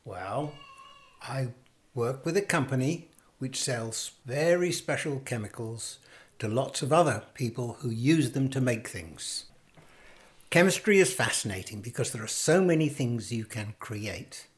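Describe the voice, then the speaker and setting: A man, a chemist, explaining his work in a measured, plain way. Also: medium pitch, lively intonation. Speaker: chemist guest.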